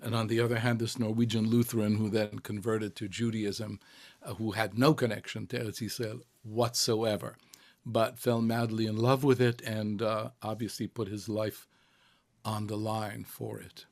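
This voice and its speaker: An older man who grew up in Israel, answering interview questions in a reflective, halting way with many fillers and restarts. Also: fairly low pitch, little pitch movement. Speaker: cantor, interviewee.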